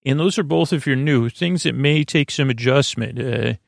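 A man with a creaky, gravelly voice hosting a sleep podcast, rambling in a lulling, halting, meandering bedtime monologue. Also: mid-range voice, dry recording, clean studio sound. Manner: lulling, soothing, halting with tangents.

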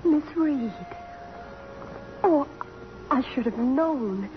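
A woman's voice: high-pitched, animated, drawn-out words, heavily acted. An actress playing an emotional, idealistic young college girl, heartfelt and breathless, in 1950s radio drama style. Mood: moved. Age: young adult. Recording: dull, faintly noisy old radio recording.

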